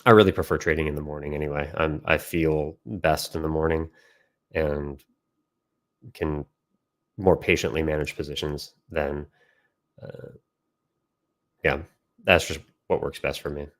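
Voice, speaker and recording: A man with an extremely low-pitched voice speaks as an educator in an informal online session. He explains step by step in a halting way, often stopping mid-phrase to find his next words. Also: fairly even intonation, fairly bright tone. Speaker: trading educator and system developer. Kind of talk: informal instructional chart commentary with audience questions.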